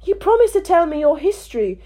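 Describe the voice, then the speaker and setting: A woman's voice: very high-pitched, very animated, brisk, mellow, pitched above the performer's own voice. A woman voicing a polite, curious young girl in a storybook reading, earnest and humble.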